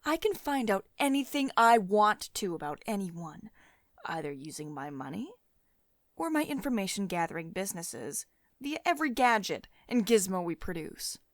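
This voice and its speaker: Woman acting a low-voiced, commanding lady CEO. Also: sing-song, no room sound, studio quality. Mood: smug, assured. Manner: measured, condescending pet names, drops into breathy whispers. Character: commanding billionaire woman CEO, possessive, coolly coaxing, teasing. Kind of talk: ASMR roleplay, intimate monologue to one listener.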